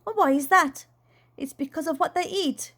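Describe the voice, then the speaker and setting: A woman's voice: very high voice, normal speed, a bit bright, pitched above the performer's own voice. A woman voicing a plump, childlike dad in a storybook, eager, playful and boastful about flying. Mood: eager, insistent. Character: plump, excitable, childlike dad who longs to fly.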